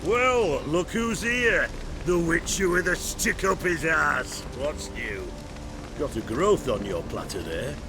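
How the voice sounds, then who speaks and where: A man's voice: a little high, expressive, slow pace, background noise. An actor playing a cocky, crude bandit in a fantasy game, mocking and loose in his talk. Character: cocky, crude male bandit, jeering and boastful. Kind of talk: fantasy video game dialogue.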